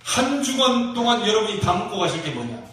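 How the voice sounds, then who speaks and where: Echoey, high-pitched Korean man preaching with regional accent. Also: very animated, a bit bright. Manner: emphatic, exhorting, with rhetorical questions and drawn-out key phrases. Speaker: pastor preaching to a congregation. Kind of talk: Korean church sermon, exhortation and prayer. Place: church sanctuary during a service. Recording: very echoey church hall, distant mic, faint noise.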